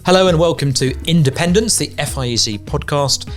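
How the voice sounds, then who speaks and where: A man hosting a podcast interview, chatty and joking, asking quick follow-up questions. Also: fairly high pitch, faint music behind. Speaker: podcast host. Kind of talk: conversational interview.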